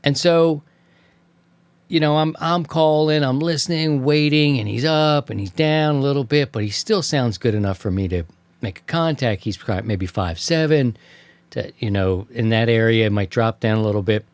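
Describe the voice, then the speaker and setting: A man with a mid-pitched voice talks casually as a radio hobby show host. He tells stories in loose, slangy language and slips into quoting himself and others. The sound is dry and close. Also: moderate pace, clear, resonant tone, no echo. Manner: colloquial, slangy, acts out remembered exchanges. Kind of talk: casual anecdotal storytelling to co-hosts.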